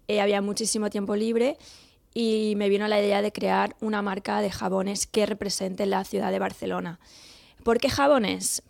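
Gravelly-voiced woman from Portugal. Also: mid-range voice, darkish tone, dry recording. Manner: hesitant, many fillers. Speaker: company founder, guest. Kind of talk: radio interview.

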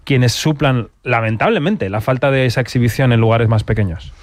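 A man hosting a podcast roundtable, moderating with brisk questions and joking asides. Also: medium pitch, no room sound. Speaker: podcast host.

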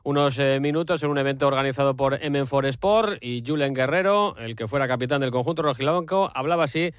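A man reporting sports news in a fluent, steady way. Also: mid-range voice, a little fast, clean tone, no echo, clean studio sound. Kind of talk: news commentary.

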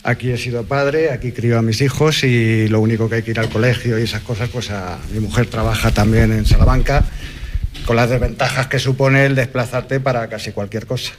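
A man's voice: mid-range voice, steady tone, a little fast, a little hiss or hum. A middle-aged man from Toledo with a Spanish accent from Spain, a livestock farmer answering conversationally as an interview guest. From Toledo, La Mancha, Spain.

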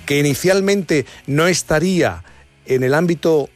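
A man's voice: a little high, measured pace, soft background music. A man hosting a talk radio show, explaining a study in a conversational way with small restarts. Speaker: radio host.

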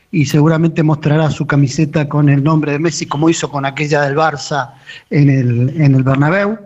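A man's voice: fairly high pitch, darkish tone, dull audio. A man with an Argentine accent reporting for a radio show as correspondent, speaking fluently and with feeling, using fillers like "este". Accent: Argentine (Río de la Plata). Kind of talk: sports commentary on radio.

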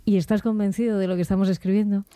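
Very mellow, dark-voiced Spanish woman. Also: mid-range voice, flat delivery, rapid-fire, no room sound. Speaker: interviewer. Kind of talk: conversational interview questioning.

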